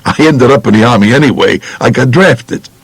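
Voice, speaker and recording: A man's voice: medium pitch, fairly quick, vocal fry, slightly rough, no echo. An actor voicing a blunt, unrepentant mobster with a New York accent, recounting his life in slangy audiobook memoir. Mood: matter-of-fact. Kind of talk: first-person audiobook memoir, colloquial.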